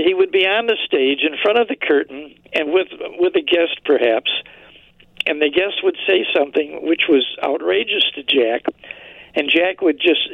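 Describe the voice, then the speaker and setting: A man's voice: a little high, vocal fry, dry recording, narrow, tinny audio. An older man telling anecdotes as a guest historian in a radio interview.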